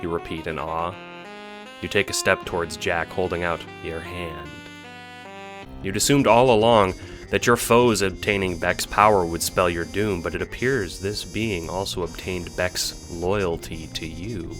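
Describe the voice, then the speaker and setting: A man with a low voice narrates an audio drama in a dramatic, scripted storytelling style. Music plays clearly behind him. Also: a little slow, fairly bright tone.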